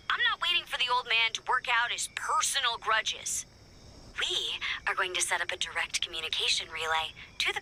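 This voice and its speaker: Snarky, bright resistance woman. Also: a little high, slight background noise, heavily acted, low-quality recording. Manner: dry, snarky, conspiratorial. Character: brisk, secretive, self-assured female resistance investigator. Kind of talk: video game voice acting.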